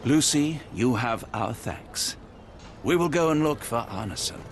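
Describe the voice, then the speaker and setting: An actor playing a kindly, courteous British doctor in a video game, reassuring and earnest. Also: male voice, medium pitch, lively intonation, unhurried, a little hiss or hum. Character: kindly, courteous gentleman doctor, the detective's companion.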